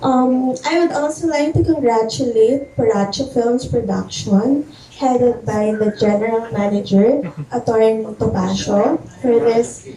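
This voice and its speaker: A woman's voice: a little high, unhurried, mellow. A woman, an actress introducing herself and giving thanks at a press conference. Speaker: film actress. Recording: echoey room, distant mic, crowd noise. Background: crowd.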